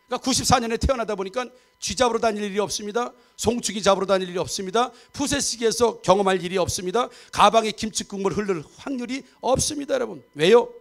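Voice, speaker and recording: A man's voice: high-pitched, creaky. A man in his fifties preaching a Sunday sermon, chatty and joking, often checking for agreement from the congregation. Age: 50s. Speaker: pastor. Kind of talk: sermon with humor and audience asides. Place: church sanctuary.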